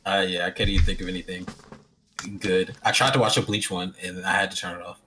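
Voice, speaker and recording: A man co-hosting a casual podcast, blunt and opinionated, quick to laugh. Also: low voice, fairly even intonation, a crowd in the background. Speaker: podcast co-host.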